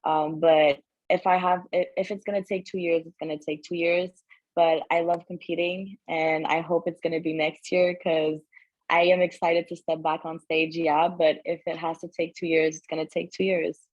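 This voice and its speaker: A woman's voice: sits low, flat delivery, normal speed, darkish tone, no echo, dull audio. A woman from Canada, a guest on an interview, talking frankly and directly with lots of "like". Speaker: guest athlete. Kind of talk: casual interview chat.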